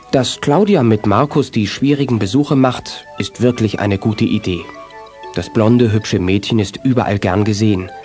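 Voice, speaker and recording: A man's voice: medium pitch, darkish tone. A man reading scripted narration as the narrator of a children's audio drama. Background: music.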